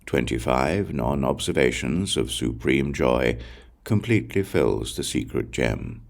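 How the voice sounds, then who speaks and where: Super deep guy reading scripture audiobook, lots of vocal fry, solemn n measured w pauses. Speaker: audiobook reader. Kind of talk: scripted narration of a Buddhist scripture.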